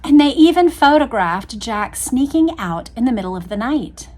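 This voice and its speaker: High-voiced woman reading a book aloud. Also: very animated, normal speed, darkish tone. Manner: engaged, addresses listeners directly. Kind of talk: expressive read-aloud with casual asides.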